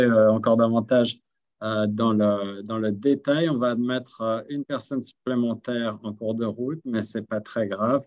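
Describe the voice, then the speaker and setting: Halting male radio host, muffled sound. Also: medium pitch. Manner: hesitant, halting, with many restarts. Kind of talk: moderating a radio panel. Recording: dull lo-fi radio audio.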